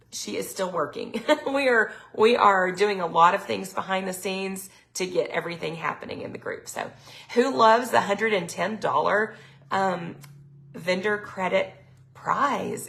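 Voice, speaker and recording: A middle-aged woman, chatting casually on a live sales stream, her throat a little raspy from allergies. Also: mid-range voice, a bit bright. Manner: chatty, lots of ums, reads viewer comments aloud. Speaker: product consultant hosting a live sales stream.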